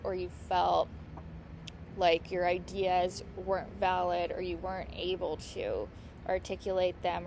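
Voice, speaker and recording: Earnest woman with vocal fry. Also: mid-range voice, darkish tone, a little hiss or hum. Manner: mouth clicking noises; earnest, reassuring and encouraging. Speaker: astrologer and healing coach. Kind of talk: casual astrology teaching monologue.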